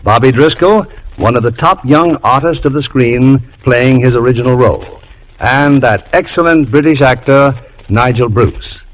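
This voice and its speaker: A man's voice: medium pitch, normal speed, low-quality recording. Formal vintage male radio announcer. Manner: formal, polished presentation. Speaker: radio show host and announcer. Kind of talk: 1950s radio announcing, scripted.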